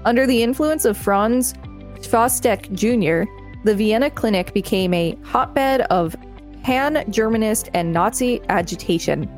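A woman's voice: fairly high pitch, a little slow, faint music behind. Woman co-host reading an article aloud. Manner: steady reading, playful quips.

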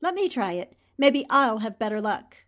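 A woman's voice: on the high side, sing-song, normal speed, fairly bright tone. A woman playing a poised, intelligent newspaper columnist heroine, polite but firm and articulate, in 1940s radio drama style. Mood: urgent.

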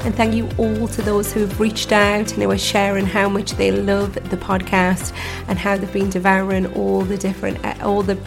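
A woman hosting her own podcast in a casual chat, her voice hoarse and croaky from laryngitis, talking with plenty of ums and restarts. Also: medium pitch, monotone, normal speed, over music. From United Kingdom. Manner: warm chatty, many ums and restarts. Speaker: podcast host and mindset coach.

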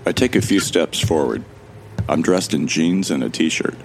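A man playing a calm, wry, self-deprecating amnesiac survivor who narrates an audio drama in a gravelly, measured, introspective way. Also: extremely low pitch, a little hiss or hum.

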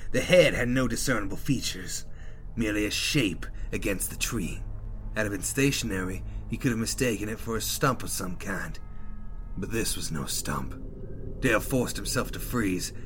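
A man narrates a scary tale in a lowered voice. He delivers it in a dramatic, deliberate, hushed storytelling style. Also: a little hiss or hum. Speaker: podcast co-host reading a story. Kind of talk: dramatic scripted horror narration.

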